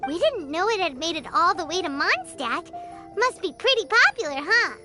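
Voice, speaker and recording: Very high, squeaky little fairy companion. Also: female voice, very animated, normal speed, sharp tone, exaggerated voice. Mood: excited, curious. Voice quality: squeaky, childlike. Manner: exclamatory, chatty. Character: tiny chirpy childlike fairy companion, curious and outspoken. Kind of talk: video game character acting. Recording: music playing behind the voice.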